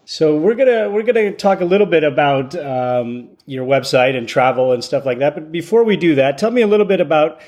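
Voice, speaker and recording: A man hosting a podcast, introducing topics and interviewing guests in a somewhat halting way. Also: a little high, a little mellow. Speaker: podcast host, physician.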